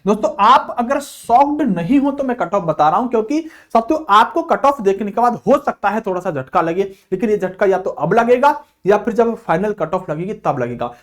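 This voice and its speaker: A man gives an informal coaching lecture in a fairly high voice that rises and falls a lot. He keeps posing questions to his audience and answering them himself, and he calls his listeners friends throughout. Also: animated, normal speed, hardly any pauses. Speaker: exam coaching teacher.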